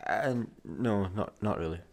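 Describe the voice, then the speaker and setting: An actor with a Scottish accent playing a grumpy, sarcastic space busker in a radio sitcom. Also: male voice, lowish voice, a little slow, creaky. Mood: awkward. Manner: irritable, deadpan sarcasm.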